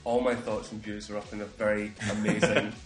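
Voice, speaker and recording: A man's voice: on the low side, little pitch movement, fairly quick. A young man with a head cold, joking dryly as co-host of a casual gaming podcast. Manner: playful, dry joking, swears. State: congested with a cold. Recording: echoey room with faint music.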